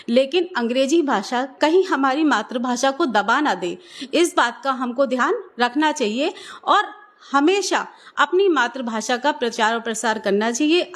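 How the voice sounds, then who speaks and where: A middle-aged woman, a government school teacher, answering interview questions in an earnest, persuasive way with some hesitant fillers. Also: high voice.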